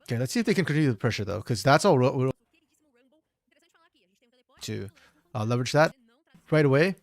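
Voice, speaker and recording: A man with a slightly hoarse voice, reviewing a match on his own in a casual, think-aloud way with frequent restarts. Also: mid-range voice, animated, fairly quick, no room sound. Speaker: esports caster doing a solo VOD review. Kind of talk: casual solo game analysis commentary.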